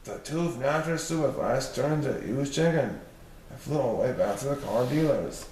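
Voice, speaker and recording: A man's voice: mid-range voice, animated, normal speed, darkish tone. A man reading a children's story aloud as narrator. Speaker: storybook reader. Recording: roomy, distant mic, faint noise.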